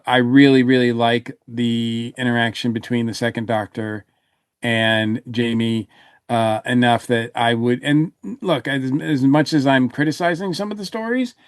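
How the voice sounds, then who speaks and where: A man's voice: mid-range voice, steady tone, unhurried, smooth, dry recording, studio quality. A man in a casual panel discussion, talking as a podcast reviewer in a rambling way, often trailing off and rephrasing.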